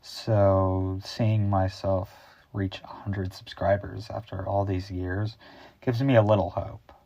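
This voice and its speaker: Deep-voiced teenage guy rambling slowly. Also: flat delivery, creaky, warm tone, no room sound, dull audio. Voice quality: deep, bass-heavy voice. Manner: rambling, improvised, a little awkward. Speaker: YouTube host. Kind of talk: casual unscripted chat.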